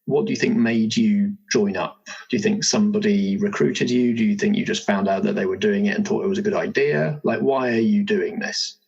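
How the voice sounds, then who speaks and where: Slightly husky low-voiced man, muffled recording. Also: fairly quick, hardly any pauses, darkish tone. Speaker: host and game master. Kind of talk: casual guided interview about a game character.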